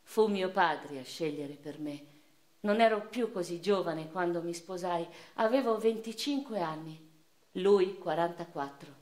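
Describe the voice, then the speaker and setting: An actress playing an educated, passionate and wounded eighteenth-century noblewoman, recalling her life in a measured, intense radio monologue. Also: on the low side, close to an everyday voice. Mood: subdued, bitter intimacy. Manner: measured, intense delivery swinging from hushed to impassioned. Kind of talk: theatrical first-person dramatic monologue for radio. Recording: roomy echo, faint music behind.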